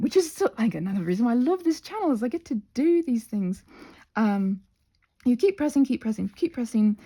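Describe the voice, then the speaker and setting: A woman gives a casual craft lesson in a mellow voice with a crackly edge. Her intonation moves up and down a great deal. She rambles playfully, breaking off her own sentences for little asides. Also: mid-range voice, vocal fry. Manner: scattered, self-interrupting, trailing off into asides. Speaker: host of a craft tutorial channel. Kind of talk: rambling, informal hands-on craft tutorial.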